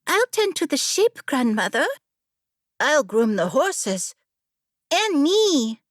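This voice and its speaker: A woman voicing excited, pleading young children of a nomad camp in a storybook narration. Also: high-pitched, takes it slowly, no echo, studio quality, voice pushed up, exaggerated voice. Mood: eager. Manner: eager, clamoring.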